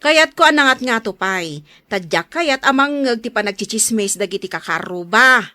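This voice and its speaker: Female voice actor as sharp-tongued Ilocano housewife for radio drama: high, bright, very animated, bossy and grumbling. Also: normal speed. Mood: bossy, demanding. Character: sharp-tongued, demanding wife, fussy and complaining about neighbours.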